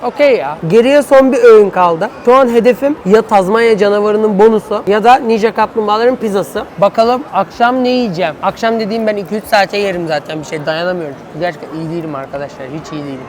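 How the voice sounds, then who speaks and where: A man's voice: high voice, fast, few pauses, slight background noise. Playfully grumbling young man vlogging. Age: young adult. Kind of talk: casual chat to camera.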